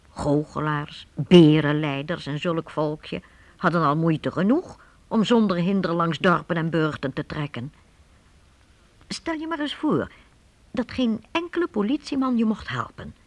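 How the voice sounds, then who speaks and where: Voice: mid-range voice, dry recording. A storyteller narrating a radio play for young people, addressing listeners directly and expressively.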